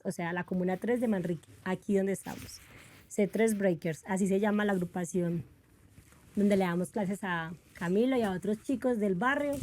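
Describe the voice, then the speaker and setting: A woman's voice: medium pitch, a little mellow. A young woman with a Medellín Colombian accent, talking in an earnest, reflective way about her life as a breaking teacher. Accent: Colombian Spanish, Medellín. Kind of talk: documentary interview and walk-through.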